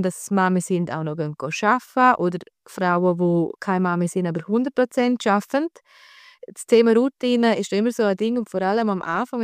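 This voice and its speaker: A woman's voice: mid-range voice, darkish tone, clean tone, no echo, clean studio sound. A woman with a Swiss accent hosting a conversational podcast interview in an enthusiastic, informal manner. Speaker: podcast host and women's health coach.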